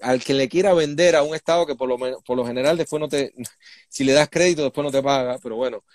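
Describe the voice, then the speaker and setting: A man's voice: medium pitch, fast, no echo, clean studio sound. A Cuban man speaking as an interview guest, explaining things in an emphatic, indignant conversational way. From Cuba. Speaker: interview guest, human rights activist.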